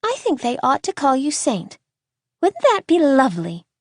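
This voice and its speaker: A woman acts out a curious little girl from a storybook, pitched high. She is prim, chatty and sure of her opinions, in a dry, close recording. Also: wildly swooping pitch, normal speed, darkish tone, no echo. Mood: bright, opinionated. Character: bright, inquisitive, forthright young girl.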